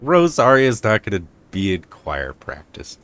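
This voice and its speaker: A man giving casual playthrough commentary as a game streamer, rambling along in a hushed, hesitant way. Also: fairly low pitch, wildly swooping pitch, measured pace, no echo.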